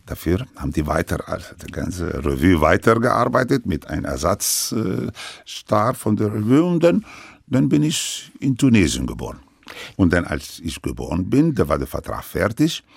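An older man who grew up speaking French in Lebanon, recounting his life story in halting, broken sentences full of restarts. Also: deep voice, sing-song, gravelly, husky, no room sound, clean studio sound. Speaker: interviewee.

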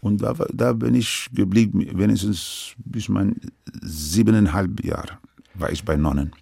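Older man with a deep gravelly voice. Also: warm tone, dry recording, studio quality. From Lebanon. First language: French. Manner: halting, with many restarts and broken grammar. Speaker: interviewee. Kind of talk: recounting his life story.

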